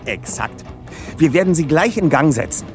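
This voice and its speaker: A man's voice: on the high side, expressive, moderate pace, creaky, soft background music. A man playing a self-assured, bookish teenage detective leader in a German audio drama, speaking precisely and formally. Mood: businesslike. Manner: precise, bookish, matter-of-fact.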